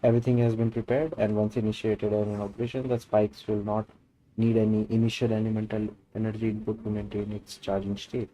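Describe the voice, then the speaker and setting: A man's voice: fairly low pitch, little pitch movement, fairly quick, dark tone, soft background music, poor audio. A man with an Indian accent live-streaming a game, switching between English and Hindi as he reads story dialogue aloud and comments.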